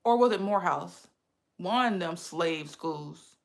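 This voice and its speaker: A woman's voice: fairly low pitch, animated, a little slow, vocal fry. A woman in her thirties with a lisp, chatting casually with viewers on a livestream. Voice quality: says she has a lisp. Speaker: livestream host.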